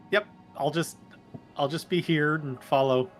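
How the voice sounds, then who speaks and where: A man with a fairly high voice improvises casually as a player in a tabletop game. He sounds amused and a little hesitant, with soft music underneath. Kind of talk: casual improvised in-character chat.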